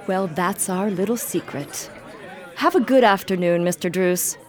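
A woman's voice: fairly low pitch, a little slow, a crowd in the background, natural voice. A woman playing a suave, unflappable villainess in a radio mystery, with a coolly taunting, insinuating manner. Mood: smug, taunting. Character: suave, sly, unflappable villainess who denies murder. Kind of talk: radio mystery drama acting.

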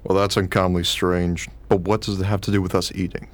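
A man's voice: low voice, little pitch movement, fairly quick, natural voice. A man playing a wry, grumbling, baffled working husband who is short of money, in a radio play. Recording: noisy recording, vehicle-like ambience.

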